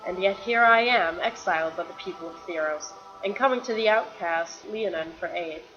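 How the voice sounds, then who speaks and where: A woman's voice: on the low side, normal speed, dull audio, over music, lightly acted. A woman playing a weary, guilt-ridden warrior and reluctant champion, telling her story earnestly in a fan-made fantasy drama. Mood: bitter, frustrated. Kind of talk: dramatic dialogue in a fan-made motion comic.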